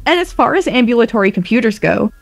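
A woman's voice: a little high, sing-song, fast, vocal fry, slight background noise. A woman narrating an audio drama in a wry, wordy, mock-technical way with precise delivery. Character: wry female narrator describing an android in technical detail. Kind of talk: scripted narration.

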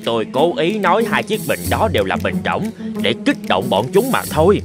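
A man's voice: medium pitch, big pitch swings, fairly quick, close to an everyday voice. A man voicing a shrewd, confident fox who reasons things out in a cartoon fable. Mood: smug, explaining. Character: shrewd, confident male fox, clever and composed. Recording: loud music behind the voice. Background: loud jingling music.

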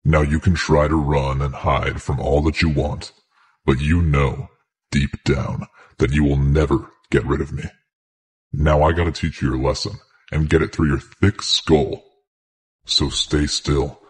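A man voicing a sinister, domineering shadowy game villain with a slow, threatening, taunting manner. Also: rumbling low, flat delivery, husky, heavily acted. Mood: menacing.

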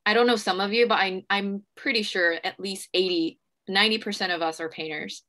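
A woman's voice: medium pitch, steady tone, brisk, bright, forceful tone. A young woman from Hong Kong hosting an artist talk on a video call, speaking in a hesitant, self-deprecating way. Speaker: moderator. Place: small room at home.

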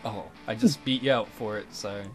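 A man's voice: on the low side, brisk. A man hosting a let's play with casual, teasing, sarcastic commentary. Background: soft guitar music.

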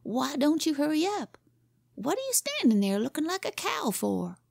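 A grandiose boy pirate captain with a raised, boyish pitch, as a woman puts him on. He orates in pompous, theatrical tones, and the voice has a creaky edge. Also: sing-song, normal speed, darkish tone, no room sound, studio quality, exaggerated voice.

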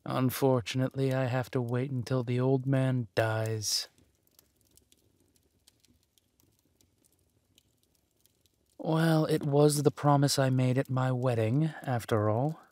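A man playing a ruthless, haughty duke in a villain audio drama, drawling deliberately and with menace. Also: medium pitch, fairly even intonation, a little mellow, dry recording, lightly acted. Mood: smug, scornful. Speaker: voice actor.

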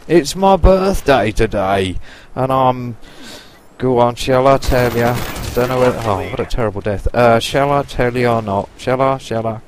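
A man's voice: mid-range voice, a little hiss or hum. A man in his late forties with a Lancashire accent, chatting casually and rambling cheekily over his own video game footage. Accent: Lancashire English. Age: 40s. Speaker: gaming YouTuber. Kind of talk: casual gameplay commentary.

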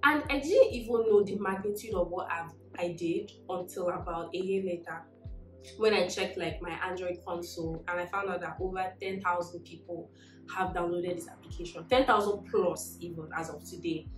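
A young woman from Nigeria talks straight to the camera in a relaxed, upbeat storytelling style, urging viewers on. Her voice sits a little low. The sound is roomy, with faint music underneath. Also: moderate pace. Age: young adult. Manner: upbeat, encouraging, gestures while talking. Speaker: tech vlogger. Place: indoor living room.

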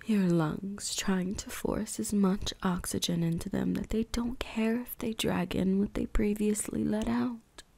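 An ancient vampire huntress acted by a woman murmurs to her prey in an ASMR roleplay. Her voice is soft and dark, with breathy whispers and a creaky edge. She speaks very slowly, seductive, possessive and quietly menacing. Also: mid-range voice, fairly even intonation. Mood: predatory, taunting. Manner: slow, teasing, menacing. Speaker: voice actress.

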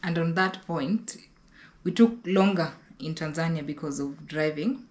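A woman's voice: low voice, measured pace. A young woman from Kenya talking casually and with enthusiasm about the places she traveled, seated on a living-room sofa. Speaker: travel vlogger. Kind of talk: casual conversational travel talk.

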